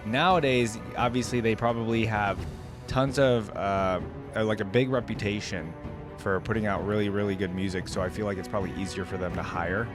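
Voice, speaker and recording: Low-voiced man rambling through a casual reaction. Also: steady tone, fairly quick. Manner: informal, rambling, thinking aloud. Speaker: reaction video host. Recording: music playing behind the voice. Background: music.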